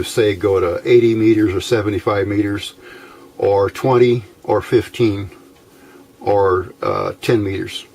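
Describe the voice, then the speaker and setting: A man giving a casual, unscripted technical explanation as a hobbyist presenter, haltingly, thinking aloud as he goes. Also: fairly low pitch, gravelly, a little mellow. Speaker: amateur radio hobbyist presenting a how-to video.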